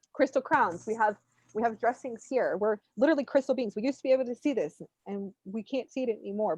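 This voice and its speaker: Woman, higher-pitched voice, spiritual coaching chat, giggly and animated, rambling, slightly muffled stream audio. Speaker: spiritual reader hosting a live readings session. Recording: muffled, roomy, lo-fi online stream.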